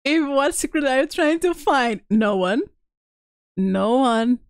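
A woman's voice: sits high, animated, slow, clean tone, dry recording, studio quality. A woman livestreamer chatting casually and reacting in a giggly way while playing a game. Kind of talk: casual gameplay stream chat.

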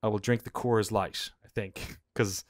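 An Irish man in his thirties, a podcast co-host telling anecdotes casually in an animated, informal way. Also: on the low side, a little slow, dry recording, studio quality. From Ireland. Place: indoor room at home on a video call.

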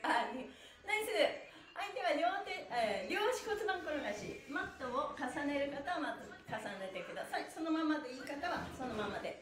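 A middle-aged woman leading a live-streamed stretch class, chanting counts cheerfully and chatting with viewers. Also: high-pitched. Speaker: stretch instructor. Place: small indoor studio room. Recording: echoey room.

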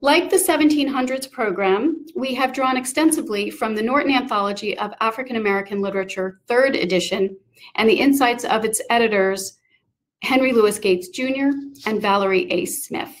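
A woman's voice: a little high, normal speed. A middle-aged woman hosting an online event, giving prepared thanks and announcements over a video call. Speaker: event host. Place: small book-lined room indoors.

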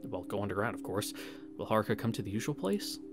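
Low-pitched man reading a visual novel. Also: very animated, fast talker. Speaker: let's play streamer. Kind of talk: casual read-through of a visual novel with commentary. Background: game music.

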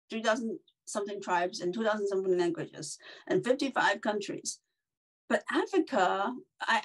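A middle-aged woman from China, a podcast guest, talking in an animated way with many fillers and restarts over a video call. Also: mid-range voice, rough recording. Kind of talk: casual interview chat.

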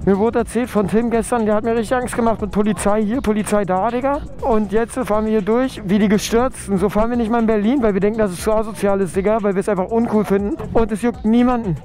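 A young man riding a motorbike at night, chatting in excited, slangy commentary through a helmet mic, his voice gone hoarse. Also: sits high, fast, hardly any pauses. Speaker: motovlogger. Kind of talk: casual helmet-cam commentary. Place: outdoors on a city street at night, riding a motorbike. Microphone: helmet intercom mic.

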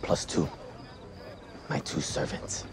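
An actor playing an undercover cop faking a pompous foreign prince, speaking in a lowered, haughty put-on voice that bursts into shouting. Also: male voice, slow pace, lightly acted. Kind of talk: film comedy acting. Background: film score.

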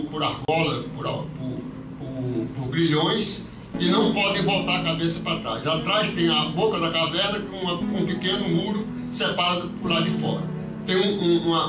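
Older man lecturing from far off. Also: a little high. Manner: hesitant, many restarts and fillers. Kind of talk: philosophical lecture. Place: lecture hall, seated at a long table. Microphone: far camera. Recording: roomy hall taken from far off.

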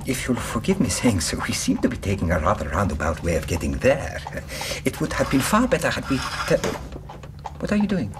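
Flustered, formal interpreter, animated. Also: male voice, fairly low pitch, a little fast. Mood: alarmed, indignant. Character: anxious, formal, indignant male interpreter. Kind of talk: radio drama acting. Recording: noisy radio drama sound scene.

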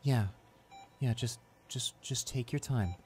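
Devoted young boyfriend, played by a man, medium pitch, anxious and caring, halting repeated phrases, intimate audio drama. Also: darkish tone, lightly acted. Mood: gentle, concerned. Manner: tender, halting, repeating himself in reassurance. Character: devoted, anxious young boyfriend comforting his hurt girlfriend. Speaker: voice actor.

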